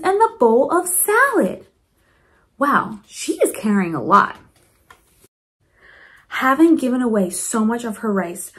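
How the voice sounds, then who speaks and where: A woman reading a storybook aloud to children in an expressive way, with chatty asides to her young listeners. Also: fairly high pitch. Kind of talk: animated children's read-aloud.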